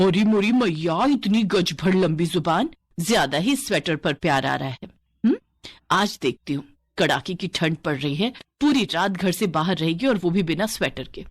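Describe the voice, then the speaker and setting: A woman's voice: fairly low pitch, expressive, normal speed, no room sound. Woman playing a cruel, scolding village stepmother. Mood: scornful. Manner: sneering, taunting.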